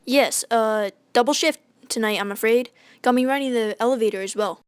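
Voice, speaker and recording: A woman's voice: on the high side, a little fast, smooth, dry recording, clean studio sound, natural voice. A woman playing a kind, eager young bellhop lad in a radio drama, friendly and a little hesitant. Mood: nervous.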